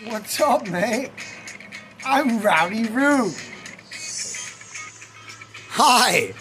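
A man's voice: very high voice, animated, extremely slow. A man in his thirties, rambling and crude, joking and boasting about his music on a city street. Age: 30s. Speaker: street musician being interviewed. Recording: music playing behind.

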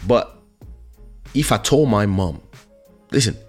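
Deep-voiced man over music. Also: expressive, takes it slowly, darkish tone. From born in Africa, grew up in France. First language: French. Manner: emphatic, repeats words, rhetorical questions. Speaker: online show host. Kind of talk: casual opinionated monologue to viewers.

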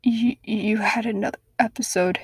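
A woman's voice: medium pitch, fairly even intonation, normal speed, husky, no room sound, clean studio sound. A choked, tearful mother, as a woman reads her. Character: tearful mother, whispering, overwhelmed.

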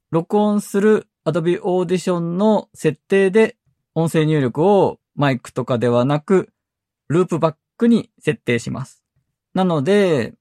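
A man hosting a tech podcast, explaining software methodically, step by step. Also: on the high side, no echo, studio quality. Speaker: podcast host.

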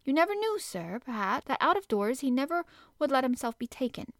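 A woman's voice: very animated, a little fast, no room sound, clean studio sound. Woman playing a fussy flustered housekeeper. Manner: breathless, fussy, higher and louder than the narration. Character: flustered middle-aged female housekeeper, anxious to please.

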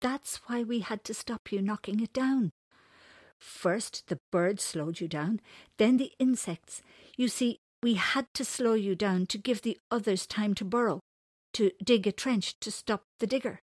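A woman's voice: medium pitch, unhurried, a little mellow, no room sound, studio quality, pitched above the performer's own voice, lightly acted. A woman voicing a cheerful, earnest, confident young boy in a storybook. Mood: triumphant.

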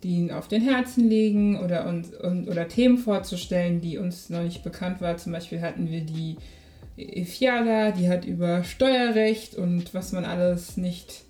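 20s woman podcast guest, low mellow voice, roomy echo. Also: normal speed. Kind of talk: casual podcast chat.

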